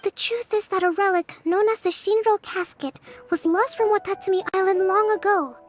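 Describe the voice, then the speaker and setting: An actress playing a calm, gentle young priestess in a game cutscene, speaking in a measured, soft-spoken way. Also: female voice, very high-pitched, soft background music. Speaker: divine priestess.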